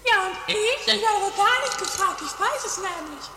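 A woman's voice: very high-pitched, expressive, normal speed, sharp tone, a little hiss or hum, full character voice. A woman voicing a self-important, dramatic and bickering sister in an amateur comedy radio play. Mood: indignant, haughty. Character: Mathilde, haughty, bickering, dramatic castle-dwelling sister.

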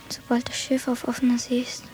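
A woman's voice: a little high, monotone, fast talker, a little mellow, faint music behind, lightly acted. A woman playing a dreamy, earnest schoolboy who longs for the sea in a German radio drama. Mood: weary musing. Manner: earnest, wondering.

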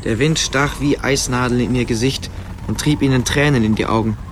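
A man's voice: medium pitch, fairly even intonation, a little fast, a little hiss or hum. A man narrating a story as scripted narration for a German radio play.

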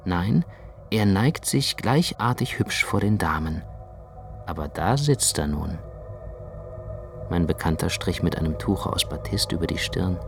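An actor playing a reflective, self-conscious first-person narrator in a German radio drama, with a measured literary delivery. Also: male voice, low voice, expressive, gravelly, faint music behind, exaggerated voice.

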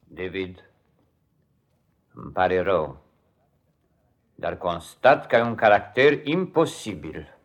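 Stern cold stepdad in old radio play, lowish voice, very formal and controlling. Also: male voice, big pitch swings, long pauses. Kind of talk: 1950s radio drama acting.

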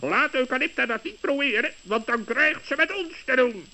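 Excitable bird, really high and piercing, swoops around, old radio play. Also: male voice, moderate pace, heavily acted. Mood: indignant, eager. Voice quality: sharp, piercing. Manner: excitable, emphatic. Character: Salomo, excitable indignant male raven. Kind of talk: 1960s children's radio play acting. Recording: dull old radio recording.

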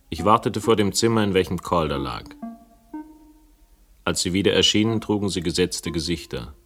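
Deep deadpan crime story narrator, ironic, faint music behind, radio play. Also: male voice. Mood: atmospheric, deadpan. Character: wry, laconic male narrator of a detective story.

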